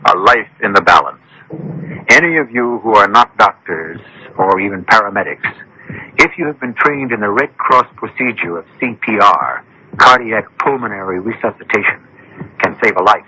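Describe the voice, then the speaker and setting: Low, forceful male radio narrator. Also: lively intonation, moderate pace. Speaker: host narrator. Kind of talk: dramatic scripted radio narration. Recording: noisy old radio broadcast.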